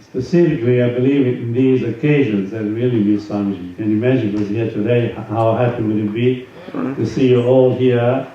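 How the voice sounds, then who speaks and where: A man speaking as a swami, giving an informal spiritual talk and reading aloud from a book, with halting conversational asides. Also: mid-range voice, fairly even intonation. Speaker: swami, yoga teacher. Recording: roomy echo, distant mic, dull sound, faint noise.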